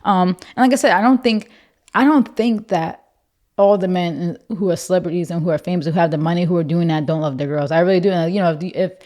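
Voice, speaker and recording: A young woman with a deep voice, co-hosting a casual podcast chat, animated and full of fillers. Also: fast. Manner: animated, lots of "like", mocking voices. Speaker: podcast co-host.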